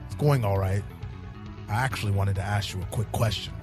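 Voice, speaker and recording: A man playing a world-weary, cynical police detective in a fan-made sci-fi noir audio drama, terse and deadpan. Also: fairly low pitch, a little fast, music in the background, lightly acted. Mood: businesslike, easygoing. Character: world-weary hard-boiled male police detective in space.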